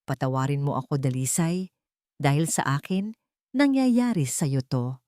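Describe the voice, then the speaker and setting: A woman's voice: deep voice, lively intonation, a little slow, warm tone, dry recording, clean studio sound. A woman voicing a wealthy, devoted and protective woman in a story, speaking in an earnest, emphatic manner. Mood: apologetic, earnest.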